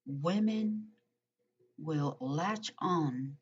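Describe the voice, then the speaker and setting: A woman's voice: low voice, expressive, drawn-out words, husky. A woman vlogger reading a letter aloud and commenting, hesitant with frequent ums and uhs, in muffled lo-fi audio. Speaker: true-crime vlogger.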